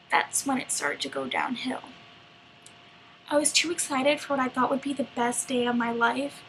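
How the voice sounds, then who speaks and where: A woman's voice: high-pitched, creaky, bright, forceful tone, a little hiss or hum, exaggerated voice, rough recording. A woman playing a naive teenage girl from a fairy tale in a confiding, wry, wounded dramatic monologue. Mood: chatty, wry, then wry, storytelling. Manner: conversational, rhetorical questions, wry.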